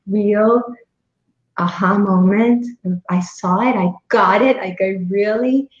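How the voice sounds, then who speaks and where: A woman speaking coach talks through her ideas in an easy, storytelling way. Her voice sits at a middle pitch, and the sound is roomy and a bit dull. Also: slow pace. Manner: warm storytelling, frequent affirming murmurs. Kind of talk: casual interview chat. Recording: echoey, dull remote audio.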